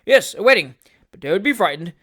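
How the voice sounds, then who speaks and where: Figure: hearty old country gentleman, voiced by an audiobook reader. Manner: loud, booming, jovial. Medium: storybook audiobook. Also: very animated, fairly quick. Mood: boisterous. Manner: boisterous, loud, booming cheer. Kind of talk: audiobook character reading.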